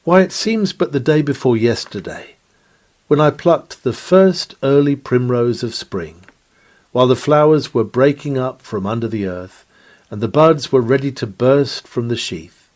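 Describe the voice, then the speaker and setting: A man's voice: mid-range voice, a little slow, darkish tone. A man reading an old-fashioned sermon aloud in an earnest, oratorical manner with formal, dramatic phrasing. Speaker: sermon reader, preacher. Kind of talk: dramatic read-aloud 19th-century sermon.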